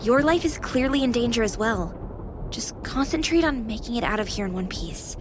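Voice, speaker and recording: A woman voicing a playful, caring young female AI companion with an expressive manner in a video game. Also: fairly high pitch, normal speed, noisy background, close to an everyday voice. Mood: gentle, consoling. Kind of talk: video game character acting.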